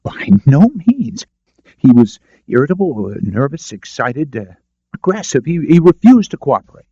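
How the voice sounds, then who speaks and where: A man's voice: on the high side, big pitch swings, moderate pace, warm tone, slightly rough, no echo, highs cut off, pitched above the performer's own voice, full character voice. A man voicing a cowed, apologetic older rest-home director who stammers defensively, in an audiobook. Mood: defensive, agitated. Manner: stammering, hesitant.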